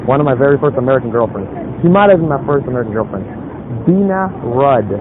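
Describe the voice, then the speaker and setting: A man's voice: medium pitch, expressive, quick pace. A young man chatting casually with someone he has approached, in a hesitant, rambling way full of fillers. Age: young adult. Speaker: pickup vlogger chatting up a stranger. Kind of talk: casual street conversation. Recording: muffled phone-like audio, loud street noise.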